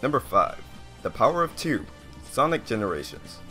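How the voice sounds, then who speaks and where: Man narrating with lively, expressive pitch. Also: normal speed, soft background music. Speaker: video host, reviewer. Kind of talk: scripted countdown narration.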